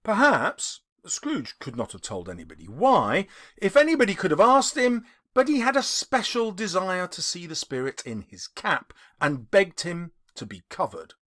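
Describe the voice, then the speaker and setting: Theatrical male narrator with lively, swinging pitch. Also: moderate pace. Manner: theatrical, emphatic. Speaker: audiobook reader, teacher. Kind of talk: dramatic literary narration.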